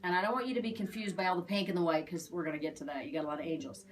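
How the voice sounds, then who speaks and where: A woman's voice: lowish voice, fairly even intonation, very fast. Chatty, emphatic woman, somewhat noisy recording. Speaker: aura reader. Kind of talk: conversational spiritual reading.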